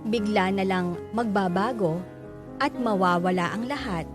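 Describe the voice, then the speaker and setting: A woman's voice: medium pitch, measured pace, lightly acted. A woman with a Filipino accent playing a wistful, reflective narrator in a radio drama. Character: wistful young woman recalling her broken family. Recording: prominent music under the voice.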